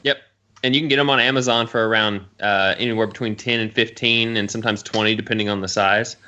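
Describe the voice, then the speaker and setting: A man chatting casually as a podcast co-host, telling stories in an animated way. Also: on the low side, fast, highs cut off. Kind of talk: casual chat.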